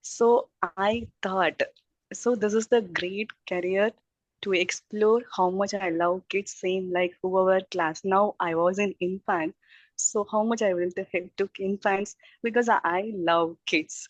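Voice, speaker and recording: A middle-aged woman with an Indian accent introduces herself informally, rambling and effusive in halting English. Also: medium pitch, fairly even intonation, dry recording. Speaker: childcare teacher introducing herself. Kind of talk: informal self-introduction at a group meeting. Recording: dull, muffled audio.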